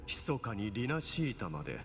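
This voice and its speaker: Theatrical, smug villain in reverb. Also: male voice, on the low side, sing-song, fast. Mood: mocking. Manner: mocking, theatrical, composed. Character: smug male villain, a shapeshifting cleric. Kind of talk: Japanese game voice acting. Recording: long hall reverb, game music behind. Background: game music.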